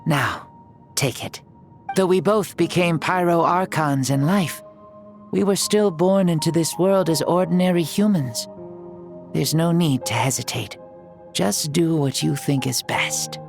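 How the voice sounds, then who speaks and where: A woman playing a proud, magnanimous ancient warrior leader in a video game, speaking in a formal, measured way. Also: low-pitched, darkish tone, faint music behind. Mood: solemn, admiring. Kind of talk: video game character acting.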